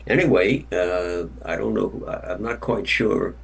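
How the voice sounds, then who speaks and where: A man on a video call speaking haltingly and thoughtfully, with many pauses and fillers. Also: low-pitched, vocal fry, breathy edge, recorded from a distance.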